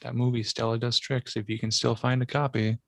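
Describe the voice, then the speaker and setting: Man, monotone, very gravelly, deadpan co-host, casual punning chat, dry sound. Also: medium pitch, a little fast, no echo. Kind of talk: casual talk, trading music and film references.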